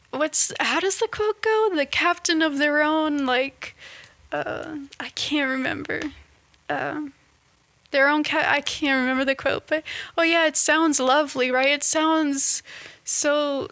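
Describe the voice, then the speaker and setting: Slow, high-voiced young woman vlogging. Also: pushed and bright, dry recording. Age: 20s. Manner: halting, searching for words with many restarts. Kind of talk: casual reflective monologue.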